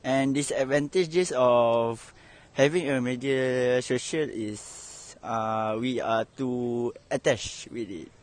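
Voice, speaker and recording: A man answering a street interview question, hesitant and pausing. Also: medium pitch. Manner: hesitant, slow answers with pauses.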